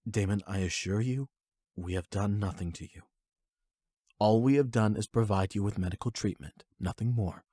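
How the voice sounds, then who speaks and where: A man plays a composed trauma-center doctor in an audio roleplay. His voice sits fairly low, with polite, measured explanations and a patient clinical tone. The sound is dry and free of room echo. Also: mellow, natural voice. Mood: calm, reassuring.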